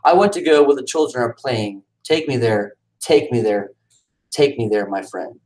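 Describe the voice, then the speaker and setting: A man's voice: expressive, moderate pace, a little mellow. A man at home chatting casually about his cat, then reading his own poems aloud with swooping, dramatic delivery. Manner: casual aside then dramatic poetry reading with pitch swings. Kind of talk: casual chat and poetry reading.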